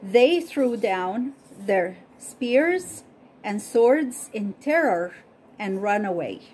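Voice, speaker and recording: A woman whose first language is Arabic, slowly and carefully reading a Bible story aloud and repeating study questions as host. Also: medium pitch, lively intonation, darkish tone, a little hiss or hum, low-quality recording.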